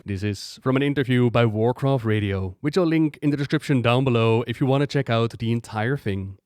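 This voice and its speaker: A man's voice: mid-range voice, a little fast, a little mellow, no room sound. Male video commentator, mid-range voice, emphatic and keen delivery, close mic with no room sound. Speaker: video host. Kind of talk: gaming lore commentary.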